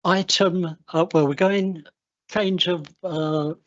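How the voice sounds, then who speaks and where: Slow, halting English meeting chair. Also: male voice, high-pitched, steady tone. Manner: slow, halting, with many uhs. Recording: dull lo-fi council livestream audio.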